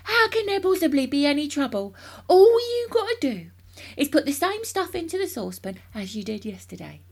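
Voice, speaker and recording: A woman voicing a wildly excited, bossy father from a children's storybook, ranting about grand schemes in emphatic, impatient exclamations. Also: high-pitched, fairly quick, voice pushed up. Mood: impatient, urgent.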